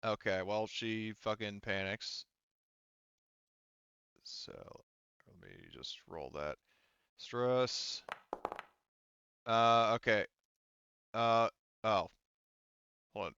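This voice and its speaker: Male game master, unhurried and halting, thinks aloud through rules; smooth voice, slightly dull dry recording. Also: on the low side, bright, forceful tone, no echo. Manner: hesitant, thinking aloud while working out rules. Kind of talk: running a tabletop roleplaying game, casual.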